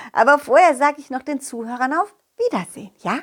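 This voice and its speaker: A woman voicing a confident, loyal dog who tells his adventures directly to children in an animated, childlike way. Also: high-pitched, moderate pace, husky. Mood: friendly, chatty. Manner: childlike, animated, addresses young listeners directly. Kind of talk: children's audio story narration.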